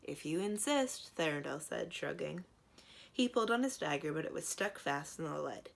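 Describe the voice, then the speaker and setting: A woman's voice: deep voice, animated, moderate pace, gravelly. A woman narrating a children's storybook as a library read-aloud.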